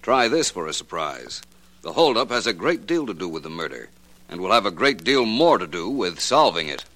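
A confident radio detective of the 1950s. His American voice is low and bright, with highly animated intonation. He is wry, assured and likes to needle. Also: moderate pace, a little hiss or hum. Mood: assertive. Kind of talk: 1950s radio crime drama acting.

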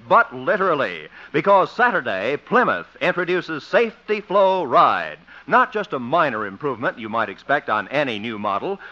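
A male radio announcer delivering scripted ads and narration in a punchy, polished, emphatic 1950s style. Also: a little high. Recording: dull vintage 1950s radio recording.

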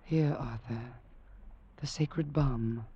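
A woman's voice: very deep voice, slow, mellow, slightly rough, full character voice. An actress playing a seductive, commanding witch lover who can turn into a cat, intense and imploring in a 1940s radio drama. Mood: hushed, beckoning. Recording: dull, noisy 1940s radio recording.

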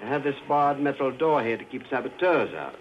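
An actor in 1940s radio drama playing a vengeful man who speaks with quiet, controlled menace. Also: medium pitch, a little fast, close to an everyday voice. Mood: sinister. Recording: dull old radio broadcast with faint hiss.

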